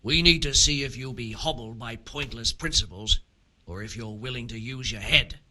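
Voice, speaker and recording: An actor playing a scheming, vengeful criminal who hands out shady jobs in a medieval video game, sly and scornful. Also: male voice, medium pitch, moderate pace, pushed and bright.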